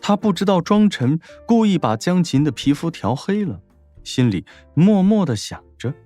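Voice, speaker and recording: A man's voice: a little high, sing-song, moderate pace, mellow, soft background music. A man narrating a scripted story as an audiobook narrator, in a dramatic storytelling style.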